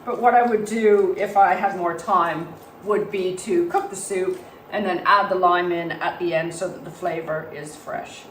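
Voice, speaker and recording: A woman with a touch of a cold speaks in a low, slightly hoarse voice as she calmly walks through a recipe. She sounds a little far from the mic in a roomy home kitchen with a faint hum behind her. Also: measured pace. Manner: calm, explains health benefits while cooking. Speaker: cooking presenter. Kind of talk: casual cooking demonstration.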